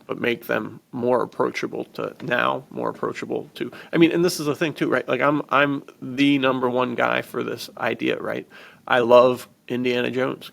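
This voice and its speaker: A man's voice: medium pitch, gravelly, a bit bright. A man co-hosting a podcast about fiction, talking casually and emphatically in informal, slangy speech. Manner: emphatic, informal, peppered with "dude" and "like". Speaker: podcast co-host and fiction author. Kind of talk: casual enthusiastic podcast chat.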